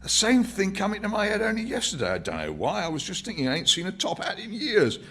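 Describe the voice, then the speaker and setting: A man's voice: high-pitched, huge pitch swings, quick pace, a bit bright, husky, pitched above the performer's own voice, full character voice. A man voicing a garrulous elderly working-class Londoner, reminiscing in colloquial speech in a novel reading. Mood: brightened, musing. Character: rambling old working-class Londoner in a pub.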